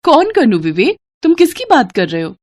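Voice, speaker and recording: Teacher voiced by the narrator, brisk and high-pitched. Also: female voice, wildly swooping pitch, a little mellow, full character voice. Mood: puzzled. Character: brisk female schoolteacher.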